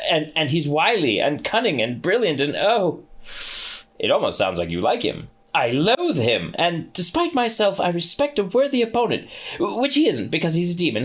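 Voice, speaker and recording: Man reading as a high, husky, fussy angel bookseller who stammers; dithering, lively.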